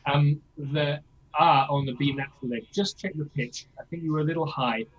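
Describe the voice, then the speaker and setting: A man's voice: a little high, normal speed, muffled recording. A man coaching a singer in a masterclass, explaining things and checking in with "yeah?" as he demonstrates lines himself. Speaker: vocal coach.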